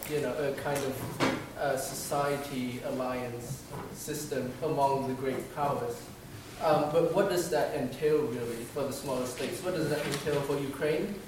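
A young man from Vietnam asks a polite, measured question from the floor of a lecture hall, his voice a little high. He sounds far from the microphone, with heavy room echo and noticeable background noise. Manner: polite, measured, some fillers. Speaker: audience member asking a question. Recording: echoey hall, far from mic, noisy.

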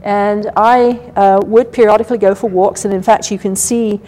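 A soft-spoken woman with an English accent giving an academic lecture at a podium, measured and full of fillers. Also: medium pitch, steady tone, low-quality recording. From England. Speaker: guest lecturer. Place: lecture hall.

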